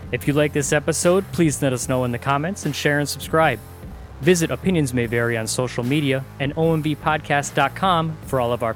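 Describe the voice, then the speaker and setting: Male podcast announcer over faint music. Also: on the high side. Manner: steady, announcing. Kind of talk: scripted credits read.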